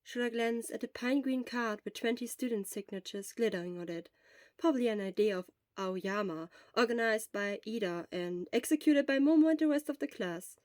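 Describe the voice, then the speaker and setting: A woman's voice: a little high, normal speed, a little mellow, no echo. A woman reading scripted fiction aloud as the narrator. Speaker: podfic narrator.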